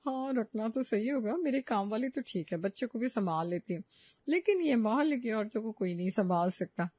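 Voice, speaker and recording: Woman playing a high-voiced, fed-up young friend. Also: animated, fairly quick, no echo, highs cut off. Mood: irritated. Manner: complaining, chatty. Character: irritated young working woman, gossiping friend.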